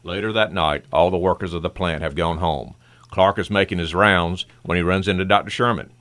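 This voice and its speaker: A man's voice: deep voice, fairly even intonation, fairly quick, fairly bright tone. A man playing the solemn narrator and chronicler of a superhero radio serial, with a dramatic delivery.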